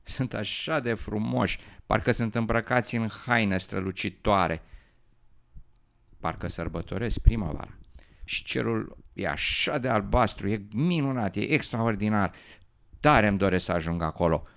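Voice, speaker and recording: A man's voice: fairly low pitch, vocal fry, a bit bright. A man playing a young newt, an earnest, adventurous dreamer given to worry and doubt, in an educational radio drama. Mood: dreamy wonder.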